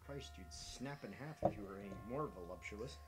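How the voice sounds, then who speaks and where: A woman's voice: rumbling low, expressive, brisk, music in the background. A woman co-streamer making casual, teasing, incredulous asides.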